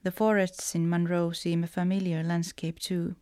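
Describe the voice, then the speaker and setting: Female letter reader, Finnish accent, slightly low pitch, dry close recording. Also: flat delivery, moderate pace, darkish tone, clear, resonant tone, no room sound. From Finland. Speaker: writer and letter writer. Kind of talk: reading personal letters aloud.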